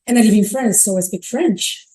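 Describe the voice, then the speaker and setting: A woman's voice: mid-range voice, moderate pace, warm tone. A Brazilian woman, a native Portuguese speaker, giving a conference talk on stage in a chatty way, often restarting her sentences. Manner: chatty, self-correcting, frequent restarts.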